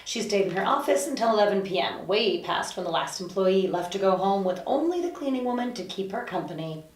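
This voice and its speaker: A woman's voice: mid-range voice, animated, brisk, natural voice. A woman reading the part of a chirpy, bossy, sardonic resident of hell in a drama table read. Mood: firm, businesslike. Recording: roomy echo, distant mic.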